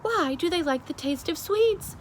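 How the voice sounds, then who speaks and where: A woman's voice: extremely high pitch, animated, normal speed, slight background noise, voice pushed up. A woman voicing an agitated, testy, panicky king in a story, exclaiming indignantly.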